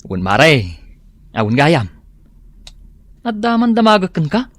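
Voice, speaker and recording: A man's voice: a little high, huge pitch swings, slow pace, no room sound, exaggerated voice. A man playing a neighborly godfather, dry and close.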